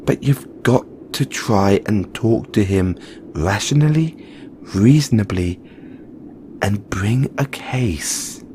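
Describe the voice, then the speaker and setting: A man's voice: lowish voice, lively intonation, slow, darkish tone, a little hiss or hum. A man playing a frank, caring Christmas elf in an audio roleplay, earnest and informal, chiding yet reassuring. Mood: coaxing. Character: frank, caring male Christmas elf, weary but supportive. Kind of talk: second-person audio roleplay monologue.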